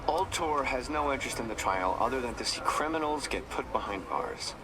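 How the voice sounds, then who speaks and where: An actor playing a calculating, smug corporate executive in a video game, glib and sardonic with a polished delivery. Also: male voice, medium pitch, animated, fairly quick, noisy background, close to an everyday voice. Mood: polished denial. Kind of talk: video game cutscene acting.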